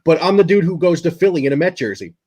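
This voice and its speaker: A married man on a casual sports podcast, joking and laughing as he talks, with a husky voice. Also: a little high, animated, fast talker, a little mellow. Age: adult, married. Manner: joking, laughs while talking. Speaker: podcast panelist.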